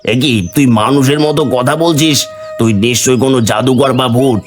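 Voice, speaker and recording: A man plays a greedy village bigwig in a cartoon fairy tale. His voice is medium in pitch, haughty and resentful. Music plays behind him. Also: moderate pace, lightly acted. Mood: alarmed, annoyed. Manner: haughty, dismissive. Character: arrogant, resentful rich village chief, greedy younger brother.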